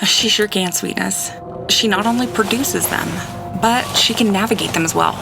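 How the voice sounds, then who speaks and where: A woman's voice: medium pitch, moderate pace, vocal fry, music in the background, close to an everyday voice, low-quality recording. A woman playing a sweet-talking, sassy, folksy storyteller in a space audio drama. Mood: sweetly sassy. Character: Rosie, sugary, folksy woman spinning a starship legend.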